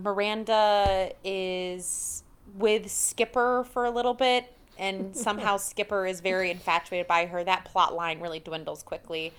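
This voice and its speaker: A woman co-hosting a podcast, casually recapping a TV show in an animated, conversational way. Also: on the low side, smooth. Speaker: podcast co-host.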